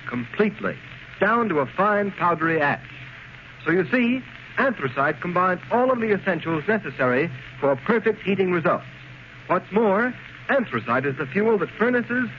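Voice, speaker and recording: A man delivering a polished, emphatic 1930s radio commercial as announcer. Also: sits high. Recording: muffled, noisy 1930s radio recording.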